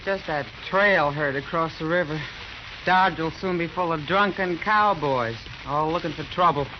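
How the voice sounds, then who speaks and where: A woman's voice: low voice, moderate pace, natural voice. An actress playing a tough, wry saloon woman in a radio western, with a dry sardonic manner. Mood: weary. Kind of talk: 1950s radio western acting. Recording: hissy, muffled old radio recording.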